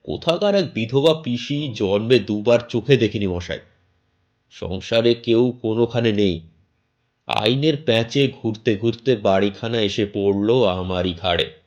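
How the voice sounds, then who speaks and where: পুরুষ কণ্ঠে অভিনীত বকবকে, ঘ্যানঘেনে মাঝবয়সী বাড়ি-বিক্রেতা. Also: male voice, on the low side, a little slow, highs cut off. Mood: grumbling. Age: middle-aged. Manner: colloquial, wheedling, complaining chatter. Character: balding middle-aged man, garrulous, callous, grumbling house seller. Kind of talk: dramatised audio story dialogue.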